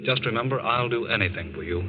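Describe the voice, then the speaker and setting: A man playing a devoted, reassuring friend in a 1940s radio drama, with an earnest, steady delivery. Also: mid-range voice, fast, lightly acted. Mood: earnest, tender. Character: devoted, reassuring family friend, handsome male confidant. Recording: dull noisy 1940s radio recording.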